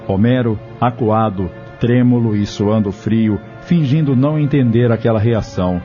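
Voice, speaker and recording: A man's voice: medium pitch, normal speed, warm tone. A man narrating a scripted audio drama as storyteller. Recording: muffled lo-fi audio over music.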